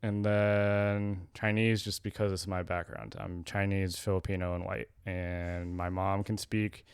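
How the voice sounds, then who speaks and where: A man's voice: deep voice, little pitch movement, unhurried, smooth, no echo. A young adult man co-hosting a casual podcast, rambling and correcting himself as he talks. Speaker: podcast co-host.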